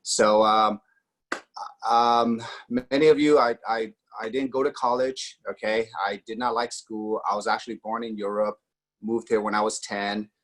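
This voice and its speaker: A man's voice: on the low side, fairly even intonation, moderate pace, a bit bright, poor audio. A man in his forties from Austria, telling his personal story in a casual way, with many fillers and acted quotes. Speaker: network marketing guest.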